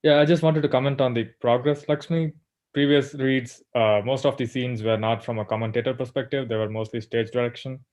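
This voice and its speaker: A man's voice: medium pitch, steady tone, brisk, a little mellow. A man giving casual, orderly feedback as a participant in an online meeting.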